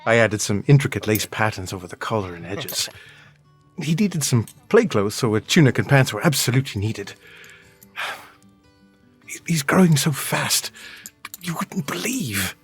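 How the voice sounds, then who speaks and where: A man playing a gentle, loving tailor father in an audio drama, tender and hesitant with a breathy voice. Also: medium pitch, very animated, moderate pace, faint music behind, heavily acted. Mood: fond.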